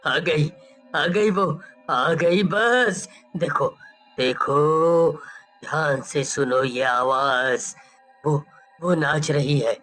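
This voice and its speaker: A man's voice: fairly high pitch, drawn-out words, a bit bright, soft background music. A man playing a guilt-maddened, terrified old father in a story cartoon, pleading and repeating broken phrases. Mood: crazed fear.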